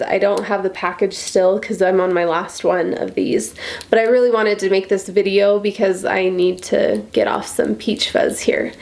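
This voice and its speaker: Nasal, congested American woman vlogger. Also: medium pitch. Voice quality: nasal, stuffy. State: congested from a cold. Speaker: beauty vlogger. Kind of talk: casual chatty beauty tutorial to camera.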